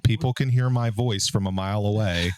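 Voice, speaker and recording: A man in his forties with a deep baritone voice, hosting a casual podcast and telling stories in a relaxed way. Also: a little fast, slight background noise. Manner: relaxed, storytelling, frequent fillers. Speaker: podcast host and business owner. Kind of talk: casual conversational podcast talk.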